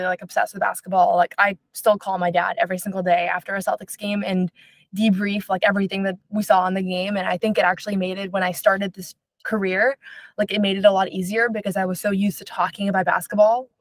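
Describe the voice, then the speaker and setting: Fast-talking young woman, chatty. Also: mid-range voice, little pitch movement, no echo. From Israel. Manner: fast, chatty, lots of fillers like "um" and "like". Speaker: basketball reporter. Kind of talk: podcast interview answers.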